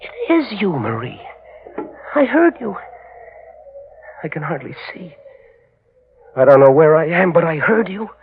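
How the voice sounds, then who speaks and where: A muffled, crackly old radio horror recording. an anguished man bent on revenge beyond the grave. He speaks slowly and melodramatically, with a gravelly edge, muttering phrases over and over. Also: a little high, very animated. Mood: yearning, bewildered. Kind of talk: 1940s radio horror acting.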